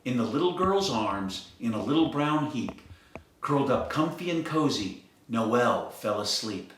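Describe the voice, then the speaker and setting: A man reading a rhyming children's picture book aloud in an expressive, sing-song way, in a very echoey room. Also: medium pitch, a little slow, a little hiss or hum. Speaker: storyteller.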